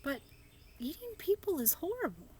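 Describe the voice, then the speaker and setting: A woman's voice: very high-pitched, wildly swooping pitch, normal speed, warm tone, slight background noise, pitched above the performer's own voice, heavily acted. A woman voicing a curious, easily horrified little girl in a storybook, questioning and exclaiming.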